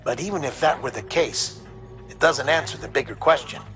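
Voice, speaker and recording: A man's voice: medium pitch, normal speed, a bit bright, natural voice. Man acting an earnest investigator over game music. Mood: puzzled, intent. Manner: earnest, speculative, probing with rhetorical questions. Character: earnest male investigator briefing a colleague, troubled and conspiratorial. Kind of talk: dramatic video game dialogue, briefing by radio. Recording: music behind the voice.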